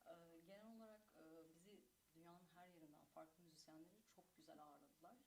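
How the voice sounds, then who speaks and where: A woman musician speaking her native Turkish at a panel, hesitant, with long drawn-out fillers. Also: lowish voice, fairly even intonation, a little mellow, distant mic, slight background noise, low-quality recording. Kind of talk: panel talk.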